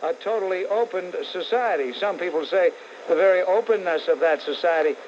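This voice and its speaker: A man with a New York accent hosting a combative call-in radio show, blunt, sarcastic and quick to insult callers. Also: sits high. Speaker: talk radio host. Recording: dull 1980s AM radio recording, faint hiss.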